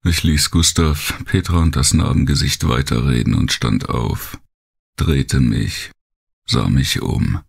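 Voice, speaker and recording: Very deep, gravelly male audiobook narrator. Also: fairly even intonation, slow. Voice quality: gravelly, husky. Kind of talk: dramatic first-person thriller audiobook narration.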